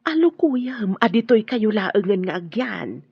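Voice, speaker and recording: A woman playing a caring, gently worried older mother in an Ilocano radio drama, with an Ilocano accent. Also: medium pitch, big pitch swings, a little slow, vocal fry, breathy edge, no room sound, lo-fi sound, full character voice. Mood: concerned.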